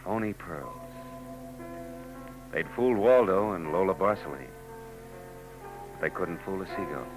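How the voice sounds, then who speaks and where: A hard-boiled private eye tells his story in a 1940s radio drama. The voice sits very deep and low, dry and weary in manner. Music swells clearly beneath it on an old broadcast recording. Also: measured pace, pauses often, poor audio. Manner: dry, world-weary first-person narration.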